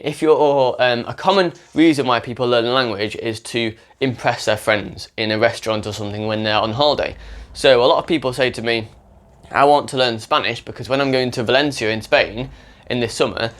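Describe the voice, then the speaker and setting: A man's voice: medium pitch, fairly bright tone. A young man who tutors languages, talking unscripted to camera in a rambling way, often restarting his sentences. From English-speaking country. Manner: rambling, self-correcting, many restarts.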